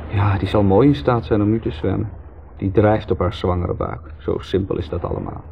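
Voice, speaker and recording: A man's voice: on the low side, normal speed, gravelly. An actor playing a wry, musing, fatalistic man in a 1970s radio drama, speaking with irony. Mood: bewildered, resentful. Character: Joris, sardonic, rambling, fatalistic brother. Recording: tinny, noisy 1970s radio recording.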